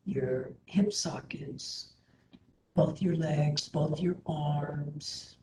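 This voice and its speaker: A woman instructor leading a guided meditation in a soothing, gentle manner, with hushed phrase endings. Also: low voice. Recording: roomy echo, faint background noise.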